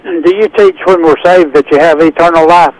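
A man's voice: a little high, moderate pace, rough recording. A man calling into a religious radio show, giving brief, plain answers. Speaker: caller.

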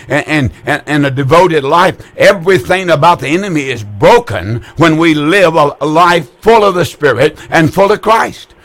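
An older man in his eighties preaches to callers on the air. His voice sits a little high for a man, and he talks in a folksy, repetitive way, stumbling over words and restarting often. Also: fairly bright tone. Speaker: radio preacher taking calls. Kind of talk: call-in religious broadcast.

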